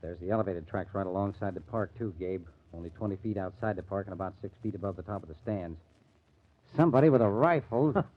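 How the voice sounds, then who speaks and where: An actor playing a probing, skeptical murder investigator in an old-time radio crime drama. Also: male voice, deep voice, fast, slight background noise, close to an everyday voice. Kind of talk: 1940s radio acting. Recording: tinny, narrow-band old radio recording.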